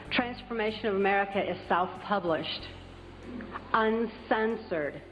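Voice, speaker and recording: Deliberate woman in a reverberant hall. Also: on the low side. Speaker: speaker giving testimony. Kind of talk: public speech. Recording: dull recording with long hall reverb.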